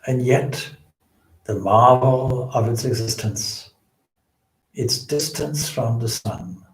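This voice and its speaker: A man leading a guided meditation as a swami, with measured, solemn phrasing and frequent pauses. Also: medium pitch. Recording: roomy, echoing indoor sound.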